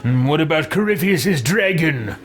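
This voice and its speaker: A man roleplaying a haughty, commanding fantasy ruler in a video game, imperious and deliberate. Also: a little high, lively intonation, a little hiss or hum, lightly acted. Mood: probing. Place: small room with bookshelves.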